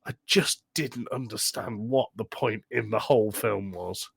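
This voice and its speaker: A man's voice: fairly low pitch, a little slow, vocal fry, breathy edge, no echo. Emphatic man with a dry, close sound. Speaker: podcast co-host. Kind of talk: casual film review chat.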